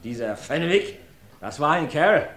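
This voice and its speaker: A chatty rustic farmer witness. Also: male voice, a little high, expressive, unhurried, fairly bright tone. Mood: folksy. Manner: folksy, rambling storyteller. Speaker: witness in a courtroom. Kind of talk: radio play acting. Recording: roomy studio.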